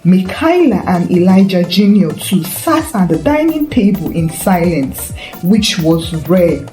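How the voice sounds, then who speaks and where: A woman's voice: fairly low pitch, sing-song, measured pace, warm tone. A woman narrating a dramatic scripted story as a storyteller. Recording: roomy echo with faint music. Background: faint background music.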